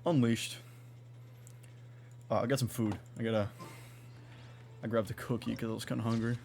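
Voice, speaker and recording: A man's voice: lowish voice, flat delivery, quick pace, vocal fry, darkish tone, a little hiss or hum. A man giving casual live gameplay commentary as a streamer, musing aloud in a rambling way. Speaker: game streamer.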